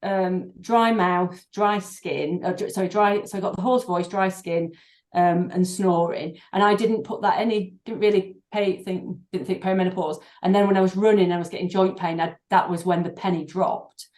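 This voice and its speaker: Low-voiced woman in an echoey room. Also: steady tone, a little mellow. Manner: thoughtful, halting, many fillers and restarts. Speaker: guest doctor and breathing therapist. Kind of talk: podcast interview.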